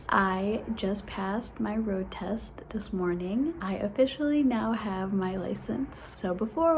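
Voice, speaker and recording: Young woman vlogger in her twenties with a stutter, casual and excited, light room noise. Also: mid-range voice, low-quality recording. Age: 20s. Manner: stutters, with repeated sounds and restarts; excited, laughs often. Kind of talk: casual vlog chat.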